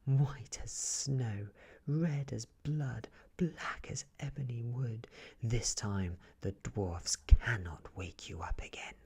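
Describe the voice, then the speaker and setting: A man voicing a wicked, envious queen in a soft-spoken, gloating storybook reading. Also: mid-range voice, slow, husky, exaggerated voice.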